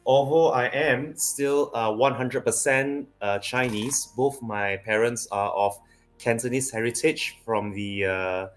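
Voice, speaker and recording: A man from Malaysia co-hosting a casual livestream, talking in a measured, explanatory way with many fillers. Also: mid-range voice, faint music behind. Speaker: livestream co-host.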